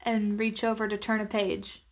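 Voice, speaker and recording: A woman with a medium-pitched voice plays a tabletop roleplaying game. She describes what her character does in the first person, improvising casually and a little tentatively, as if working it out aloud. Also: little pitch movement, moderate pace.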